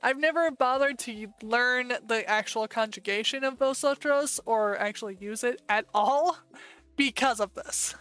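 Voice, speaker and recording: An American woman streaming live, talking in a loose, chatty way as she thinks aloud and tosses in quick asides. Her voice sits a little high and comes across smooth and clear, with faint music playing softly underneath. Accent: American English. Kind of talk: casual live-stream commentary.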